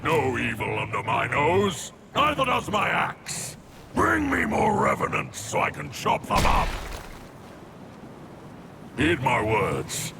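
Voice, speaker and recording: A gruff, bellowing axe warrior. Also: male voice, mid-range voice, wildly swooping pitch, slow, background noise, full character voice. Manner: bellowing, boastful. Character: gruff, boisterous axe-wielding male warrior.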